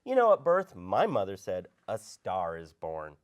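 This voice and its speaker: Guy playing full-of-himself rock star dude, super animated delivery, slangy table read drama. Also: low-pitched, unhurried, full character voice. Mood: boastful, cocky. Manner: cocky, swaggering, slangy. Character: vain, self-absorbed male rock star, boastful and prickly. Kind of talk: script table read acting.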